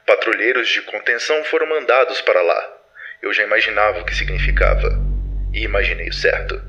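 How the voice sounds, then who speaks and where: A man's voice: medium pitch, bright, forceful tone, a little hiss or hum, low-quality recording. A Brazilian man playing a solemn, formal archivist who records secret mission files in an ominous audio drama narration.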